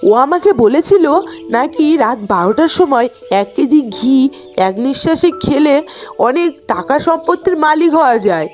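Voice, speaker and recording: A woman playing an excitable, gullible, pushy neighbour wife in a cartoon, with a dramatic, insistent delivery. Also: high-pitched, unhurried, soft background music. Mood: distraught. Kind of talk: Bengali cartoon voice acting.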